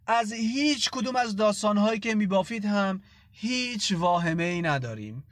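A woman voicing a smug, falsely courteous male police chief in an audiobook, speaking in an unctuous, drawn-out way with quiet menace. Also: medium pitch, dry recording, clean studio sound, natural voice. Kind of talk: acted audiobook dialogue.

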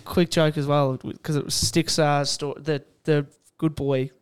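A man's voice: on the high side, flat delivery, measured pace, soft background music. An Australian man telling casual anecdotes on a podcast in a rambling, self-interrupting way. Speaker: podcast panellist.

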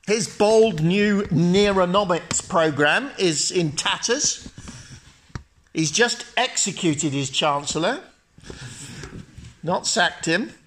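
A man's voice: high-pitched, lively intonation, slow, pauses often, a bit bright, a little hiss or hum, voice pushed up, heavily acted. Man doing a scornful young Roman friend. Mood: warning. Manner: urgent, mocking, lecturing.

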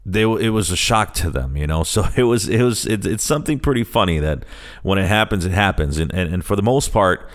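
Middle-aged American male podcast host, slightly deep voice, conversational and bilingual, easygoing solo introduction. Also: animated, fairly quick. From Chicago, United States. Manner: conversational, switches between English and Spanish.

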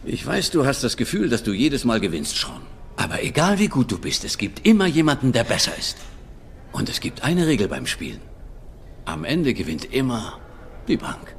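A man's voice: mid-range voice, huge pitch swings, moderate pace, slight background noise. A man playing a stern, sarcastic middle-aged father who lectures and nags in a German audio drama dub. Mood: firm, preachy.

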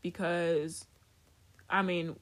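A woman's voice: fairly low pitch, level tone, drawn-out words. A woman from Africa co-hosting a podcast, chatting candidly, laughing often and drifting off topic. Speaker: podcast co-host. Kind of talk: casual chat.

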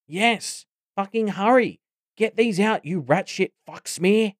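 A man's voice: sits high, animated, slow pace, no room sound, clean studio sound, voice pushed up. A man voicing a big, brutish goblin in an audiobook, blunt, desperate and yelping. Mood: panicked, desperate. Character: big brutish male goblin, frantic and foul-mouthed. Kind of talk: audiobook character acting.

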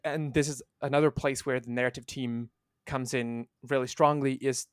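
Guy on a podcast, keeps restarting his sentences, dry close sound, middle pitch. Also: fairly even intonation, no room sound. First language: Italian. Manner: halting, many restarts and fillers. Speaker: guest, translation manager. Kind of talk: casual podcast chat.

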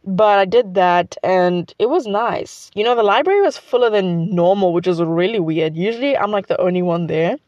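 A woman's voice: mid-range voice, expressive, normal speed, hardly any pauses, darkish tone, dry recording, highs cut off. A teenage girl from South Africa with a South African accent, Xhosa her first language, chatting casually in a rambling vlog full of "like". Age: teens. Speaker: student vlogger.

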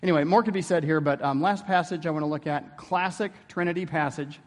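A man's voice: fairly high pitch, fast, long reverb tail. A man giving an informal theology lecture in a conversational way, often checking in with rhetorical questions.

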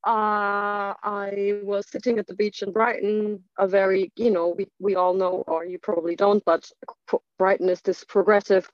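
Halting woman. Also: mid-range voice, dry recording. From Germany. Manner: halting, full of "like" and restarts. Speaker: speaker sharing her life story. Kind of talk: candid personal storytelling. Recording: dull lo-fi dry recording.

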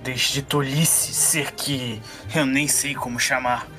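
A man's voice: medium pitch, fairly even intonation, slow pace, bright, forceful tone, faint music behind. A man playing a defiant, self-righteous inquisitor in a tabletop game, haughty and firm.

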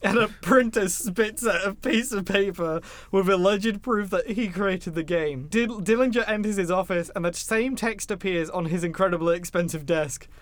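A man's voice: high-pitched, moderate pace, few pauses, a bit bright. A man hosting a podcast, recapping a film plot in a casual, jokey and informal way. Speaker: podcast host.

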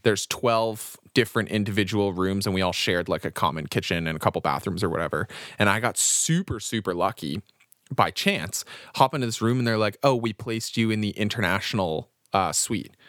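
A young Canadian man speaks in a low register, his stories winding along in loose podcast chat. He breaks into laughs often. The sound is dry and close, with no room in it. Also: animated, a little fast, fairly bright tone, no room sound. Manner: rambling storyteller, laughs often. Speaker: podcast co-host. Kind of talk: casual chat.

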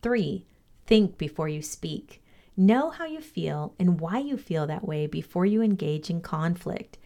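Female co-host with a slightly low voice, measured, instructive read of a values lesson. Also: lively intonation, warm tone. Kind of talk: read-aloud motivational lesson.

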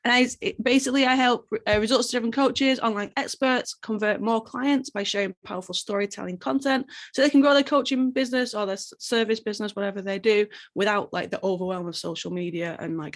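A woman's voice: mid-range voice, brisk. Chatty British woman, interview guest. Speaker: guest, storytelling coach. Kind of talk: podcast interview.

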